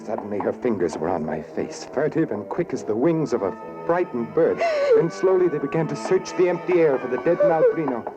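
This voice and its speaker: An actor playing a haunted, wary murderer, narrating in brooding, dramatic 1940s radio noir style. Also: male voice, mid-range voice, sing-song, brisk, lightly acted. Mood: hunted, tense. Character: haunted, wary male murderer narrating his own crime. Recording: dull old radio broadcast. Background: dramatic music.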